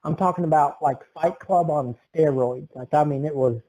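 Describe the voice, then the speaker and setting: A man's voice: on the high side, normal speed, dark tone, low-quality recording. A young man hosting a podcast, telling exaggerated comic stories. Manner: exaggerated tall-tale telling. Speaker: podcast host. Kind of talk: comic storytelling.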